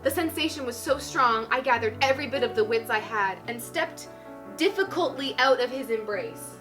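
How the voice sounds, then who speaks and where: A woman plays a shy, swooning young heroine of a romance novel. The voice is high, with earnest melodramatic delivery. Music plays behind it. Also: animated, moderate pace, lightly acted. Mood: breathless, swooning. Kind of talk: mock-dramatic reading of a teen romance novel.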